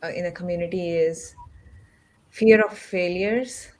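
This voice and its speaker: A woman's voice: on the low side, fairly even intonation, unhurried, low-quality recording. A woman speaking conversationally as a panelist, giving her personal views. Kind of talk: conversational discussion. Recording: faint background noise.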